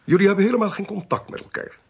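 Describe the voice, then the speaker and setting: An actor playing a drily witty, inquisitive gentleman investigator in a Dutch radio drama, with a theatrical, deliberate delivery. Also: high voice, creaky, husky, exaggerated voice. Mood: curious, wry.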